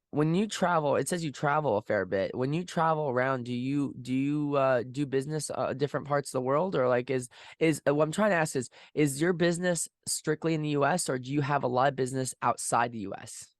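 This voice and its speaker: A man hosting a casual interview, asking rambling questions in a halting way with stumbles and repeated words. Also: mid-range voice, no echo, clean studio sound. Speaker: podcast interviewer, host.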